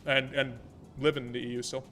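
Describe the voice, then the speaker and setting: An American man streaming a naval battle game, thinking aloud about tactics and chatting with viewers in a relaxed way. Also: medium pitch, normal speed, soft background music. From American, born in Germany. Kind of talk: live gameplay commentary and chat. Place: Vienna home setup.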